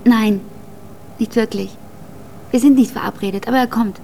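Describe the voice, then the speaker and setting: A woman's voice: fairly high pitch, fairly even intonation, normal speed, creaky, warm tone, slight background noise. An actress playing a guarded, faintly reproachful woman in a naturalistic radio drama. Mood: guarded, wry.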